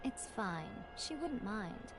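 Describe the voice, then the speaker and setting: A sly teasing priestess. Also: female voice, medium pitch, very animated, slow pace, noisy background. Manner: amused, knowing, teasing. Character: sly, teasing fox-eared shrine priestess woman. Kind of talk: game voice acting.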